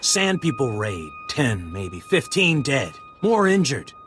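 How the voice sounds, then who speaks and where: A man's voice: mid-range voice, very animated, slow pace, fairly bright tone. An actor playing an angry frontier townsman who is blunt, sarcastic and insistent in a video game. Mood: heated, insistent. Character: angry, pushy male frontier townsman demanding action. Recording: faint background music.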